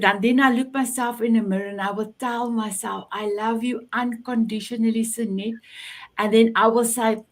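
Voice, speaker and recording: A South African woman whose first language is Afrikaans, hosting an online show over a video call and gushing with praise. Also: on the high side. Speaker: show host.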